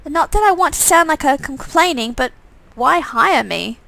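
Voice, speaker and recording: A woman's voice: high voice, lively intonation, moderate pace, creaky, pushed and bright, no room sound, heavily acted. A woman voicing a suspicious young heroine in a visual novel game, frank and questioning. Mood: unsure. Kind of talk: acted visual novel dialogue.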